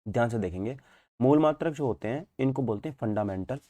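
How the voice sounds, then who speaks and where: Male teacher, mellow dark tone, dry close sound, informal lecture delivery with repeated check-ins. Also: mid-range voice, lively intonation, fairly quick, no echo. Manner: repetitive, asks and answers own questions, frequent check-in tags. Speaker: physics teacher. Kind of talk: informal online exam-prep lecture in Hindi mixed with English terms.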